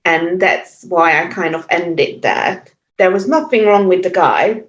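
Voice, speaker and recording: A woman from Hungary in her forties, speaking English with a Hungarian accent, reflecting thoughtfully with pauses and fillers as a podcast guest. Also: on the low side. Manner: thoughtful, hesitant, many fillers and restarts. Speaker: podcast guest, yoga teacher. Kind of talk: reflective interview talk. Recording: echoey, muffled remote audio.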